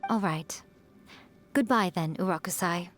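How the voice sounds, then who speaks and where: A woman's voice: on the low side, a little slow, lightly acted. An actress playing a smug, elegant, centuries-old female yokai in a video game, with a coy, teasing manner. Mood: gentle, knowing. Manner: teasing, self-satisfied, coy. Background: music.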